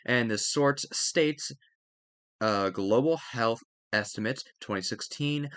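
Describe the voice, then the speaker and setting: A man with a medium-pitched voice reads study material aloud. The sound is dry, with no room echo. His delivery is careful and instructional, with an occasional stumble that he corrects. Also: slow, fairly bright tone. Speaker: narrator reading a history textbook aloud. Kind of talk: read-aloud educational narration.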